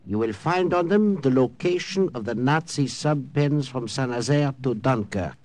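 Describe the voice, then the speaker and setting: An actor playing a formal, guarded German officer with a German accent in an old-time radio drama. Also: male voice, mid-range voice, animated, normal speed, lightly acted. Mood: urgent.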